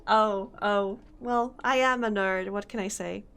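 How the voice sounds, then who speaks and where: A young woman streaming a game, chatting casually, reading on-screen text aloud and laughing often, her voice slightly hoarse. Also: medium pitch, fairly even intonation, measured pace, fairly bright tone. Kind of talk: casual let's-play commentary.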